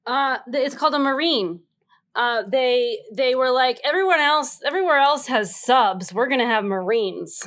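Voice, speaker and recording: A woman's voice: sits high, lively intonation, moderate pace, a bit bright. A woman in her thirties giving improvised comic answers on a video call from home. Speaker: panel show regular. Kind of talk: improvised comedic chat.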